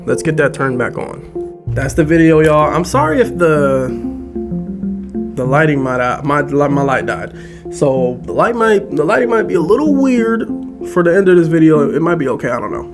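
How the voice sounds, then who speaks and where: A man's voice: fairly high pitch, very animated, moderate pace. A man casually addressing his viewers as host, playful and prone to repeating himself. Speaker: host reading horror stories. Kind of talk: casual video sign-off to viewers. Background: plucked guitar music.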